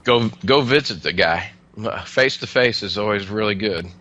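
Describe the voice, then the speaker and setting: A man giving casual, plain-spoken explanations as an interview guest, with somewhat halting delivery. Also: lowish voice, pushed and bright, dry recording, lo-fi sound. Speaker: air traffic controller, interview guest.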